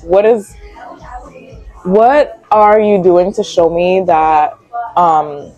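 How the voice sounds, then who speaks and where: A woman's voice: fairly low pitch, steady tone, drawn-out words, darkish tone, no room sound, lo-fi sound, faint music behind. A young woman of Guyanese heritage, a magazine founder, chatting casually as an interview guest in a cafe, full of "like" fillers. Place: cafe and lounge.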